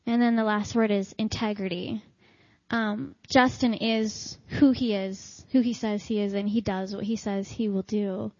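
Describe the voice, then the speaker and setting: A woman answering interview questions about her husband in an earnest, lightly halting way. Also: medium pitch, fairly even intonation, darkish tone, no room sound. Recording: dry, dull lo-fi sound.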